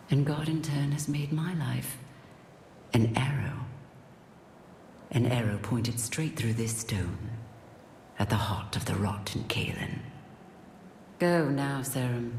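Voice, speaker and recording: A woman's voice: very deep voice, a little mellow. A woman voicing a zealous female holy warrior, speaking coldly and scornfully, often half-whispering. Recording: echoey with faint noise.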